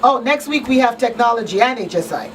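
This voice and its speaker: A woman's voice: a little high, lively intonation, a little fast. A woman hosting a live talk show in a conversational style. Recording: distant mic with background noise.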